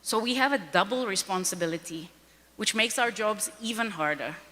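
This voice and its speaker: A woman's voice: mid-range voice, lively intonation, moderate pace, sharp tone. A woman from Kenya speaking earnestly on a panel, pressing her points and repeating them for emphasis. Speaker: panel speaker, foundation president. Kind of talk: speech at a panel event. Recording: long reverb of a large hall.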